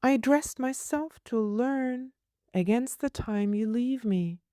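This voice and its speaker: A woman's voice: fairly high pitch, takes it slowly, dark tone, no room sound, studio quality, pitched above the performer's own voice. A woman voicing a small, solemn, homesick little girl in a storybook, speaking in precise, imperious, formal phrases. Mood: fussy, hushed urgency.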